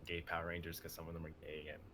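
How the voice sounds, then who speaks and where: A man's voice: low-pitched, completely flat delivery, fast talker, a crowd in the background. A young man on a live stream, reading his own dramatic script and chatting casually about it. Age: young adult.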